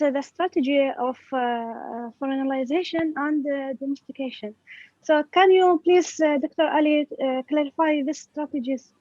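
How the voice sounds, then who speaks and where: A young woman from Iraq, a university student, asking a question over a video call and pausing often on "uh". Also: high-pitched, darkish tone, no room sound. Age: young adult. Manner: hesitant, with many "uh" pauses. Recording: dry, dull lo-fi sound.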